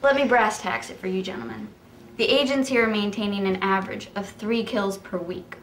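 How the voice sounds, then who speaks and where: A man doing a stern, curt boss of a company of hitmen, slightly higher in pitch than most men. He talks in a roomy office and sits back from the mic. He speaks in short, no-nonsense orders. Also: steady tone, brisk, gravelly, a bit bright, distant mic, soft background music.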